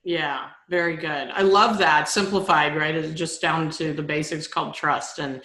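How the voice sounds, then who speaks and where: A woman hosting a podcast, encouraging her guest with warm affirmations and tagging her points with questions. Her voice sits a little low. The recording has a noticeably roomy, echoey quality. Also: steady tone, normal speed. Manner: enthusiastic and affirming, with lots of "right?" and "I love that". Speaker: podcast host. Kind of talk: casual interview. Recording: roomy-sounding mic.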